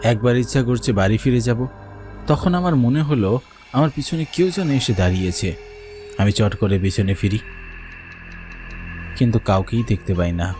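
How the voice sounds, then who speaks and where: A man's voice: medium pitch, expressive, moderate pace, long pauses, a little mellow, faint music behind, natural voice. A man playing a frightened young university student, narrating a horror cartoon in a suspenseful, dramatic first-person style. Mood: fearful, suspenseful. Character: frightened young male university student telling his ghost story.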